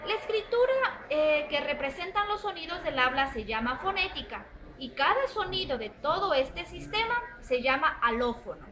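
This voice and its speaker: A woman's voice: sits high, lively intonation, moderate pace, few pauses, sharp tone, rough recording. A woman reading out a class presentation on phonetics, with emphatic lists and some fillers. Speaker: student presenter.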